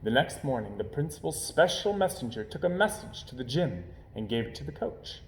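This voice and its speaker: Animated man reading a children's story. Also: mid-range voice, fairly quick. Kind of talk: expressive children's story narration. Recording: roomy, echoing home recording.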